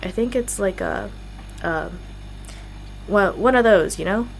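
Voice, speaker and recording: A woman's voice: mid-range voice, a little slow, gravelly, noisy background. A woman playing a wary, sceptical young female musician in an audio drama, with a dry, cautious manner.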